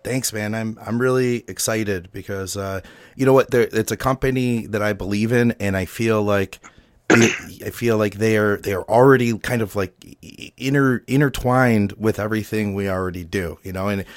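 A man hosting a podcast in casual chat, relaxed and repeating words as he starts. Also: on the low side, normal speed, dry recording, clean studio sound. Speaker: podcast host.

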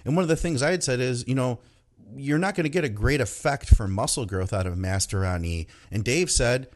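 A man hosting a podcast, talking in a relaxed, chatty way with lots of "you know" fillers. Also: mid-range voice, brisk. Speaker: podcast host. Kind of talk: casual podcast chat.

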